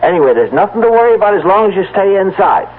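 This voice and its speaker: An actor playing an anxious, loving, stubborn farmer husband in a radio drama, with a halting, stammering urgency. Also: male voice, high-pitched, very animated. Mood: urgent. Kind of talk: vintage-style radio drama acting. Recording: muffled, noisy old radio drama recording.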